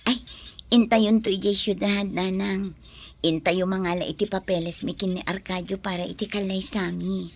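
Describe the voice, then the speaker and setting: An actress playing a kind, well-mannered young bride-to-be in a radio drama, speaking gently and sincerely. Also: female voice, mid-range voice, fairly even intonation, moderate pace, close to an everyday voice. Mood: polite, earnest.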